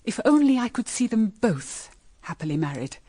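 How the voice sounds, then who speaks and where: An actress playing an anxious, brooding middle-aged British mother in a period radio drama, with grave, measured, dramatic phrasing. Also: fairly high pitch, very animated, dark tone, slightly rough, no room sound. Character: anxious, brooding mother of two grown daughters.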